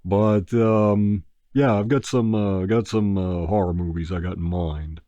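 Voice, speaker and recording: Laid-back low-voiced man, rambling chat. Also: steady tone, measured pace, mellow, dry recording, muffled recording. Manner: rambling, laid-back, many ums and uhs. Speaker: movie review channel host. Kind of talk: casual podcast-style chat.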